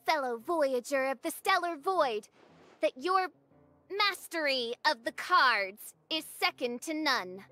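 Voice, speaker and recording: A woman's voice: extremely high pitch, sharp tone, heavily acted. A woman voicing a grandiose teenage princess in an anime video game, with pompous, archaic and melodramatic delivery. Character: grandiose theatrical teenage girl posing as fantasy princess.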